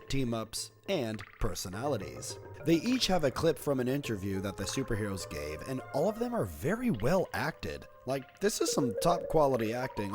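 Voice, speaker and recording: A man narrating a scripted video essay as a horror commentator, in a playful, wry, conversational way. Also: medium pitch.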